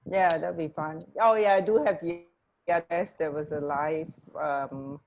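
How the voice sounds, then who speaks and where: A woman co-hosting a radio show, chatting casually and haltingly over an internet connection. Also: sits low, fairly even intonation, darkish tone, a little hiss or hum. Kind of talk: casual chat.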